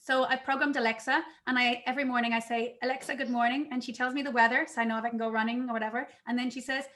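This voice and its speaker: A woman speaking casually on a video call panel, an animated storyteller who acts out the lines she quotes. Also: on the high side, rapid-fire.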